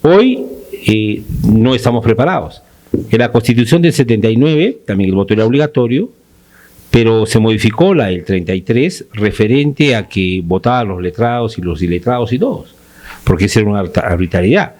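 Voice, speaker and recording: A man's voice: on the low side, lively intonation, fairly quick, darkish tone. A man from Peru debating on a talk panel, pressing his point with rhetorical questions.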